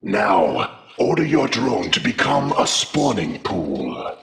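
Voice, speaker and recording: A man voicing a stern, monstrous alien brood commander in a video game, instructing imperiously in a very gravelly voice. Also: on the low side, big pitch swings, takes it slowly, a bit bright, full character voice. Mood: instructive. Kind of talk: video game character briefing.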